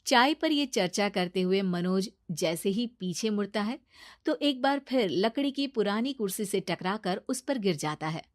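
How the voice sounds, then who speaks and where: Dry, medium-pitched woman story narrator. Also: animated, normal speed, dry recording. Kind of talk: scripted children's story narration.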